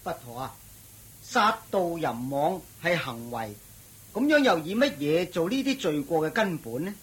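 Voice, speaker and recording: A man's voice: fairly high pitch, very animated, unhurried, a bit bright, slightly rough, heavily acted, rough recording. An actor playing a courteous nobleman who questions a holy teacher in a respectful, earnest way in a radio drama. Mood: curious. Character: Prince Meda, courteous noble male lord. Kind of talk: Cantonese radio drama acting.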